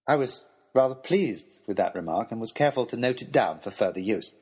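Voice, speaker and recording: A man's voice: fairly low pitch, expressive, fairly quick, a little mellow, breathy edge. A man narrating a scripted first-person adventure story as a storyteller.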